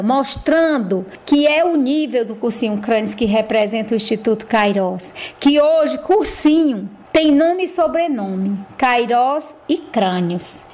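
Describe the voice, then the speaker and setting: Proud school director, a woman, slightly high voice, talks in emphatic speechmaking bursts. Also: a little mellow. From Ceará, Brazil. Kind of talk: radio interview guest.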